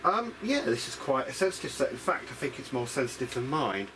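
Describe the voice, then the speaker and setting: A man's voice: medium pitch, very animated, brisk. A man from the UK giving a casual, unscripted hobbyist demonstration in a rambling, hesitant, self-deprecating way. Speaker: hobbyist radio restorer presenting a video diary. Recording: clear background noise.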